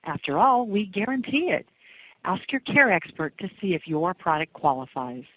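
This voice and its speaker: Lower-voiced woman, scripted promo message. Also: very animated, normal speed, fairly bright tone, rough recording. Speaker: recorded phone-line announcer.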